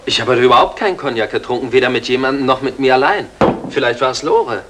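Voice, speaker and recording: A man's voice: mid-range voice, lively intonation, fast, natural voice. Guy playing dry sarcastic nitpicky husband, old german radio drama, bit of noise. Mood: indignant. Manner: dry, sardonic, needling. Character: sarcastic, pedantic, henpecked husband. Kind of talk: 1960s German radio play acting. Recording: noisy old radio play recording.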